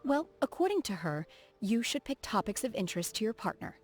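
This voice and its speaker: A woman voicing a reserved, earnest, socially awkward young female martial disciple in a video game, speaking in a formal, measured way. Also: medium pitch, very animated, lightly acted. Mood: reflective. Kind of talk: video game character voice acting. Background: background music.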